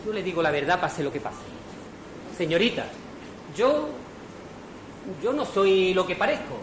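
A man's voice: high voice, big pitch swings, a little slow. Man acting flustered hapless fellow, distant and echoey. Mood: flustered confessing. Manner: stammering, exasperated comic asides. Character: hapless poor impostor man, jittery and wryly resigned. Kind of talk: amateur comic radio theatre. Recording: roomy distant stage recording, noisy audience.

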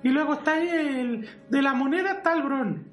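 High, stammering timid priest. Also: male voice, a little slow, a bit bright. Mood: hesitant explaining. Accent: Spanish from Spain. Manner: stammering, hesitant, apologetic. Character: timid, rambling priest, caretaker brother of a fallen conqueror. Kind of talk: amateur parody audio drama. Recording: roomy, distant mic, music underneath.

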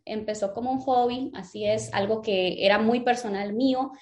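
A woman's voice: on the high side, fairly even intonation, normal speed. A woman from Guatemala, a lettering teacher and business owner, telling her story in a casual interview with frequent fillers.

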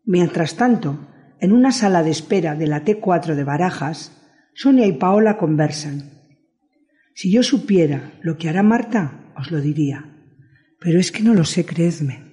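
A man's voice: fairly high pitch, lively intonation, moderate pace, mellow. A man with a Spain Spanish accent narrating a radio drama as a confiding storyteller. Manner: confiding, addressing the listeners.

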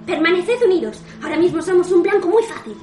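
A woman voices an alert female adventurer in a fantasy radio drama. Her pitch is extremely high and very animated. The recording is echoey with some music behind it. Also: fast talker. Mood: urgent, wary. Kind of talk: fantasy radio play acting.

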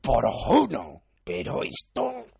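A man voicing a bitter, self-important Roman tribune in an audiobook-style story, raspy and thin, with an aggrieved declamatory manner. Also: mid-range voice, huge pitch swings, slow pace, dark tone, dry recording, studio quality, pitched above the performer's own voice, heavily acted. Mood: indignant.

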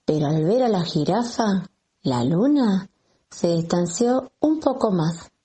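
Dark, husky woman reading a tale. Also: on the low side, lively intonation, slow. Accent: Argentine. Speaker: kindergarten teacher. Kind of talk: children's storybook narration.

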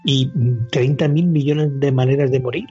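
A podcast panelist, a man with a Spain accent, speaks in a very breathy, rough and dark mid-range voice. He chats casually and gropes for words. The recording is slightly dull with music behind. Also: mid-range voice, fairly even intonation, normal speed. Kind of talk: casual chat about video games.

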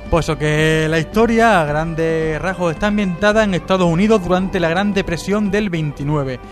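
A man's voice: fairly high pitch, moderate pace, faint music behind. A man from Spain with a Spanish accent giving a casual, jokey radio review, partly read out and sometimes stumbling. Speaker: radio show contributor reviewing TV series.

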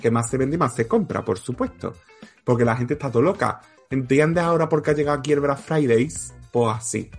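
Husky playful man. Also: mid-range voice, expressive, brisk, faint music behind. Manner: playful, jokey, laughs often. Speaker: podcast co-host. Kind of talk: casual chat, storytelling trivia.

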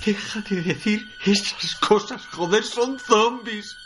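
A woman's voice: mid-range voice, slow pace, fairly bright tone, a little hiss or hum. An actress playing an exasperated, sarcastic, fatalistic woman survivor arguing in a radio drama.

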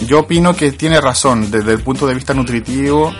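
A man's voice: mid-range voice, fairly quick. Man, Chilean accent, radio co-host, chatty and laughing, slangy, faint music behind. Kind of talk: casual radio chat.

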